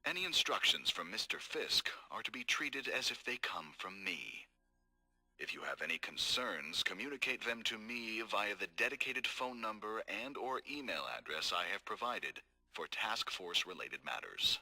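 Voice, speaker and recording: A man voicing a cold, scheming corporate executive in game audio logs, calm, measured and formal. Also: lowish voice, full character voice. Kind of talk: recorded game audio log.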